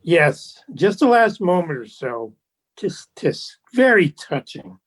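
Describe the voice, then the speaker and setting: A man acting as a suave, insincere gentleman in a radio drama. He speaks very slowly with affected politeness, his pitch sliding up and down in smug, teasing curves. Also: sing-song, full character voice. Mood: smug, mock sympathy.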